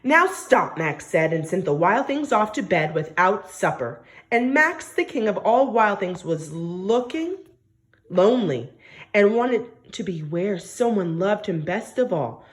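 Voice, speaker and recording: A woman teacher reading a story aloud to young children, expressive and coaxing, often asking questions and stressing key words. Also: mid-range voice, measured pace. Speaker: primary school teacher.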